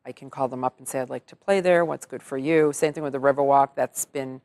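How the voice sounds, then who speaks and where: A middle-aged woman talks in an easy, upbeat way and laughs often. Her speaking voice sits low. The recording is dry and close, with no room sound. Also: steady tone, quick pace, darkish tone, no room sound. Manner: chatty, upbeat, laughs easily. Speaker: entertainer and singer. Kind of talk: casual interview chat.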